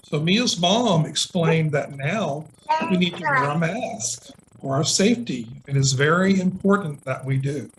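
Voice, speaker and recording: A man's voice: on the high side, animated, measured pace, fairly bright tone. A middle-aged man, a nurse, reading a picture book to young children and putting simple questions to them. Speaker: nurse and guest storybook reader. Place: indoors on a video call. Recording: noisy video call with clicks.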